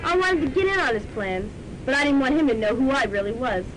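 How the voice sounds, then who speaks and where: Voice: lively intonation, quick pace, fairly bright tone. An actor playing a bossy, scheming female police sergeant villain in a student radio drama, with a commanding, gloating delivery. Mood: gloating confession. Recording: noisy amateur radio drama tape, rumbling.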